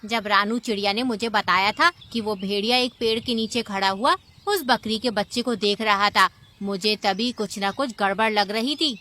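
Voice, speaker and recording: A woman's voice: mid-range voice, normal speed, hardly any pauses, sharp tone, close to an everyday voice. A woman voicing a wise, watchful auntie bird in a children's cartoon, speaking in a firm and reassuring way. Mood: thoughtful, reassuring. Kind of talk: Hindi children's cartoon voice acting.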